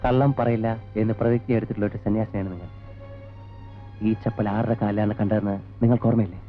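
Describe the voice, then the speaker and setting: Actor as a brisk, stern police investigator. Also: male voice, lowish voice, fairly even intonation, natural voice. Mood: probing, firm. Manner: formal, insistent, mixing in English words. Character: stern, methodical male police investigator questioning suspects. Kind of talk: 1980s Malayalam film acting. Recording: dull old film soundtrack with music.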